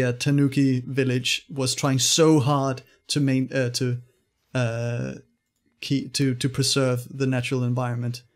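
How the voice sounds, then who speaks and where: Halting man hosting a film podcast. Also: medium pitch. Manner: halting, hesitant, repeats words while searching. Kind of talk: casual film discussion.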